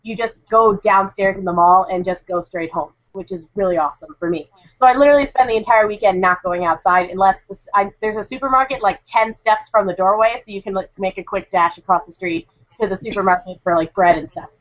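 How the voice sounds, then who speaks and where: A woman's voice: mid-range voice, brisk, no room sound. A woman reviewing a convention in a chatty, rambling way while getting over a cold. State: recovering from a cold. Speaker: convention correspondent. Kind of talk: casual podcast review. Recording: dull lo-fi sound.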